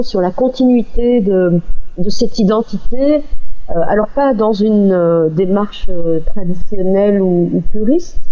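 A middle-aged woman, a singer speaking as an interview guest over the phone, reflective and halting as she searches for words. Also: medium pitch, dark tone. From Belgium. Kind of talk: conversational interview, recounting at length. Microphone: telephone. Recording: slightly dull phone-in sound.

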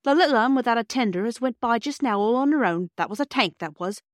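A woman's voice: on the high side, expressive, quick pace, dry recording, studio quality, voice pushed up, natural voice. A woman voicing a cheerful working-class railway porter who talks in broad rustic dialect. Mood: chatty.

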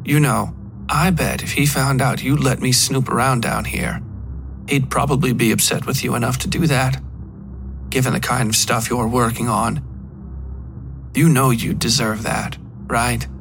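A man voicing a coolly taunting, menacing woman in a dark fiction story. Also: mid-range voice, fairly even intonation, unhurried, vocal fry, music in the background. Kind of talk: dark fiction audiobook reading.

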